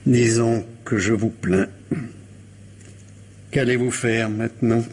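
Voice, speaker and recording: A patient police commissioner in a radio mystery. He is a man of formal courtesy, with a husky, medium-pitched voice and a slow, measured, probing delivery. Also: fairly even intonation, darkish tone. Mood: firm, courteous. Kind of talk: French radio mystery drama acting.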